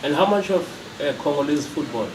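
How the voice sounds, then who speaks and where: Off-mic reporter, echo and hiss. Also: male voice, a little high, animated, fairly quick. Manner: rephrasing questions, hesitant fillers. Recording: echoey room, distant, noisy.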